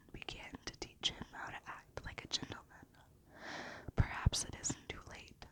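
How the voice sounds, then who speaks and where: A woman reading a children's storybook in a gentle, hushed ASMR style, dropping into whispers. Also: low-quality recording. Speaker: ASMR reader.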